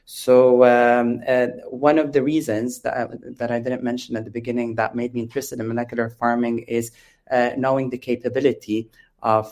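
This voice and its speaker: A man from Lebanon with a Lebanese accent, explaining things as an interview guest, halting often with drawn-out ums. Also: mid-range voice, flat delivery, a little mellow. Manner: hesitant, halting, with frequent long ums. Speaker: interview guest, biotech company founder.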